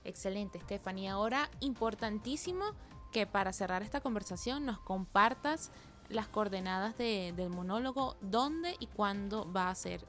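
A woman's voice: medium pitch, lively intonation, normal speed, faint music behind. Friendly woman hosting an interview. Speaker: host, interviewer. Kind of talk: radio interview.

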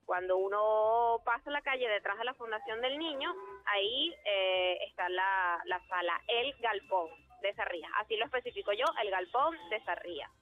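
A young actress speaking, answering earnestly and thoughtfully, with many pauses and fillers. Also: female voice, mid-range voice, expressive. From Venezuela. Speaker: actress, interview guest. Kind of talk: phone interview. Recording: tinny phone line with faint music.

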